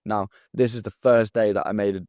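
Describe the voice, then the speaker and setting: A man in his twenties from England with a southern English accent, talking straight to camera as a sales coach in a blunt, profane, hyped-up motivational pitch. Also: steady tone, fairly quick, darkish tone, no room sound, studio quality. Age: 20s. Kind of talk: direct-to-camera pitch video.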